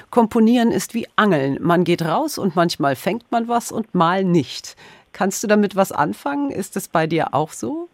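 A woman's voice: medium pitch, no room sound. Woman interviewer in a dry recording. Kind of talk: prepared, conversational interview questions.

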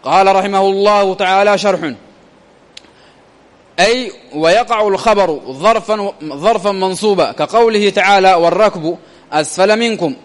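A man's voice: sits high, moderate pace, long reverb tail. A man teaching a grammar lesson, repeating phrases and quizzing his listeners with rhetorical questions.

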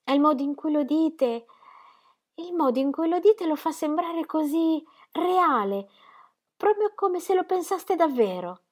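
A woman voicing a dreamy, rapturous young woman who gushes breathlessly in a high voice. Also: lively intonation, a little slow, darkish tone. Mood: enraptured.

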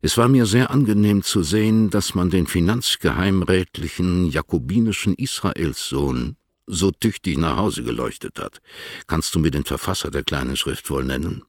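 An actor playing a cool, sardonic, haughty letter writer in a radio play, husky and formally measured. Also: male voice, sits low, animated, no echo, studio quality. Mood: mocking.

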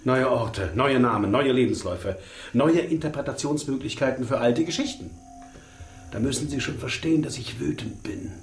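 An actor playing a gaunt, fanatical East German officer in a radio crime drama, mockingly courteous and given to grandiose lecturing. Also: male voice, medium pitch, normal speed, faint music behind, natural voice. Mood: grandiose, menacing.

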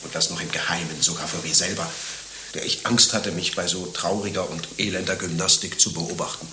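A man's voice: sits low, steady tone, fairly quick, pushed and bright, roomy sound, slight background noise. An actor playing a lovesick, naive middle-aged former monastic in a German radio play, declaiming his confessions with rhapsodic anguish. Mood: lovesick, confessional.

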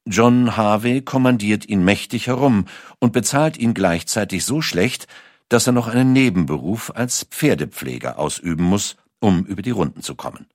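Deep-voiced man narrating with lively intonation. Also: normal speed, dry recording, studio quality. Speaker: documentary narrator. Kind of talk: scripted narration.

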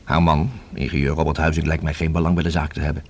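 An actor in a radio play as a methodical police inspector who reasons and questions in a formal, measured, deliberate way. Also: male voice, a bass voice, little pitch movement, slightly rough, heavily acted. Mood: pensive, speculating. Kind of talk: radio drama acting. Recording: hissy old radio play recording.

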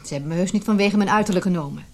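An actress playing an eager wife seeking approval, speaking intimately in a naturalistic radio drama. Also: female voice, fairly low pitch, very fast. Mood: eager, assertive. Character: newly promoted wife, eager, self-assured yet seeking approval.

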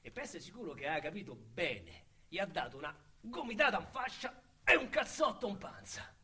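An actor with a Roman accent playing a boastful small-time male crook in a radio drama, talking in a colorful, slangy way that swings between loud bragging and hushed menace. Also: high voice, sing-song, normal speed, slightly rough, full character voice. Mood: menacing. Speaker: narrator-protagonist.

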